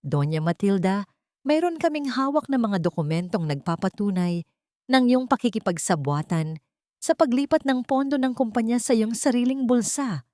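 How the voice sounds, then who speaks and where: A woman's voice: a little high, animated, normal speed, a little mellow, no echo, clean studio sound, pitched above the performer's own voice, lightly acted. Woman reading as a stern Filipino family lawyer. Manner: formal, accusing.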